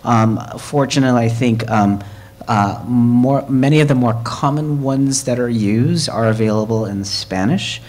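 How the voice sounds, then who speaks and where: A man's voice: mid-range voice, a little slow, a little mellow. A man chairing a committee at a hybrid meeting, talking conversationally with fillers and restarts.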